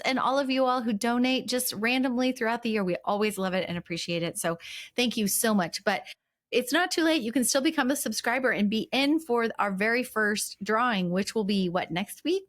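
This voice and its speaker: A woman's voice: a little high, lively intonation, brisk, a bit bright. A woman co-hosting a casual podcast, talking in a bubbly, rambling way and laughing often. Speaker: podcast co-host.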